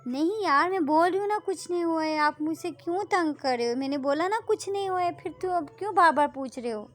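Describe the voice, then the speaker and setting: A woman's voice: very high-pitched, brisk, a bit bright, faint music behind, pitched above the performer's own voice. A woman voicing a tearful teenage girl who snaps and pleads to be left alone. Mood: upset pleading. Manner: pleading, raised and strained. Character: upset teenage girl Kavita, tearful and snapping.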